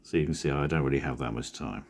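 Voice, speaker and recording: A man with a croaky, husky voice from a cold, talking in a rambling, drowsy, self-correcting way as a cartoonist vlogger. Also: very deep voice, fast. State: hoarse from a cold. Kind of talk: casual studio vlog talk.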